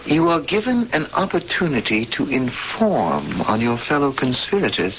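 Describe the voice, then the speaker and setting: A man's voice: mid-range voice, very animated, moderate pace, a bit bright, a little hiss or hum. An actor playing a stoic male prisoner and lone rebel, resigned and earnest, in a 1970s radio drama. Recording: dull lo-fi old radio broadcast.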